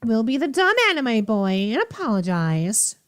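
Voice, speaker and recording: Theatrical woman streamer, high swooping voice. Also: very animated, moderate pace, smooth, no echo, studio quality. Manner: theatrical, teasing, sassy. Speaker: streamer reacting to visual novels. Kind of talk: playful gaming commentary with dialogue read aloud.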